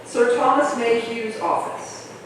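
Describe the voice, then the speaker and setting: In a very echoey room with clear background noise, a high-voiced man reads scene settings. It is plain scripted narration for a staged play reading. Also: fairly even intonation, slow, fairly bright tone, recorded from a distance. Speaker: stage directions reader.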